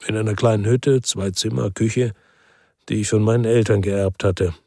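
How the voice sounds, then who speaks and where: Male lead: middle-aged ex-cop narrating radio noir, husky, lowish, close dry sound, wry and contented. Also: steady tone, moderate pace, dark tone, no room sound. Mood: contented, reflective. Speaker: first-person narrator. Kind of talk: scripted radio crime drama.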